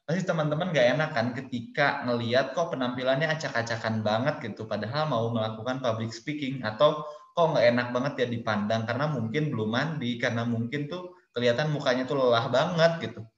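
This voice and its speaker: A man's voice: mid-range voice, a little fast. Man giving a casual numbered-point webinar talk. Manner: explains step by step in numbered points; casual, addresses listeners as friends. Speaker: webinar speaker. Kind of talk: webinar lecture. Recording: roomy, dull lo-fi webinar audio.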